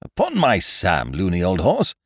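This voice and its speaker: A man voicing a pompous, indignant chancer in an audiobook, loud and emphatic, stammering, with old-fashioned British slang. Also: deep voice, huge pitch swings, normal speed, no room sound, clean studio sound, heavily acted. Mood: flustered, sheepish.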